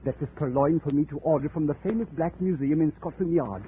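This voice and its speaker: Man acting high-voiced pompous eccentric villain, tinny radio. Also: a little fast, lightly acted. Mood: gloating pride. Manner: ornate, pompous, precise diction. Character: small stooped eccentric male crime collector, theatrical and menacing. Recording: tinny, noisy 1940s radio recording.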